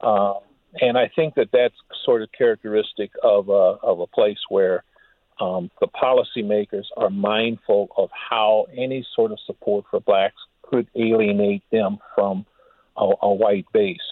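A man speaking as an interview guest and historian, reflective and halting, with measured, formal wording. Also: fairly low pitch, steady tone, no room sound. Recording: muffled phone-like line.

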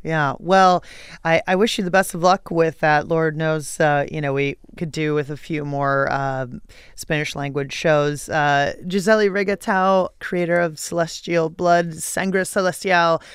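A woman's voice: sits low, steady tone, measured pace, smooth, no echo, clean studio sound. A woman hosting a radio show in a chatty, casual way, using slang and talking straight to listeners.

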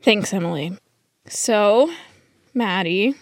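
A woman's voice: mid-range voice, animated, slow, no room sound, studio quality. A young adult woman, a podcast producer, speaking casually and sincerely in a heartfelt chat.

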